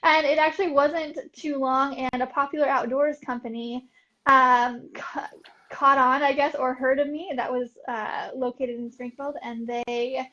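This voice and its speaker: A woman's voice: sits high, fairly even intonation, a little slow, pushed and bright. A young woman artist, a guest in a casual interview, talking upbeat with frequent fillers and laughs. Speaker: artist and art business coach, guest. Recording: dull lo-fi audio.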